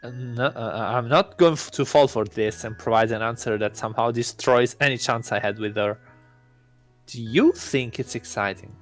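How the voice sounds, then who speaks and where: A man's voice: medium pitch, normal speed, faint music behind, lightly acted. A man voicing a smug self-proclaimed god posing as an awkward teenage student, pompous and theatrical, for a visual novel. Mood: awkward, boastful.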